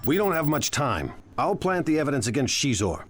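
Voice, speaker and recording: An actor playing a bold, scheming criminal leader in a video game, commanding and self-assured. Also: male voice, fairly high pitch, very animated, fairly quick, husky, faint music behind.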